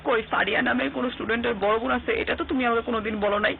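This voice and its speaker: Hurt, high-voiced young Bangladeshi girlfriend. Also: steady tone, racing, gravelly. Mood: accusing, sarcastic. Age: young adult. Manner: sarcastic, emotional, mixes in English. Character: hurt, accusing young girlfriend of five years. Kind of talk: TV drama acting. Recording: thin phone-like sound, faint noise.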